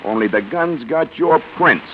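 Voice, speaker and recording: A man's voice: medium pitch, animated, a little slow, close to an everyday voice. Man as hard-boiled American cop, needling and menacing, swinging inflection; muffled vintage radio crime show. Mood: accusing. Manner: sneering, needling, threatening. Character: taunting, bullying hard-boiled police detective. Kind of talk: 1940s American radio drama acting. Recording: muffled, faintly noisy old radio broadcast.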